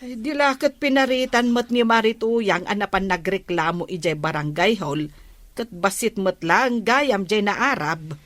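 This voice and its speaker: A woman's voice: mid-range voice, a little slow, a bit bright, dry recording, close to an everyday voice. A woman acting an inquisitive, matter-of-fact village woman in a comedy radio drama, talking conversationally.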